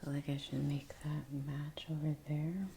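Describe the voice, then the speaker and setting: An American woman doing soft-spoken ASMR, chatting casually in a breathy near-whisper as she comments on her painting. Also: deep voice, flat delivery, normal speed. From United States. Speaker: ASMR creator. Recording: clear background noise, brush clicks.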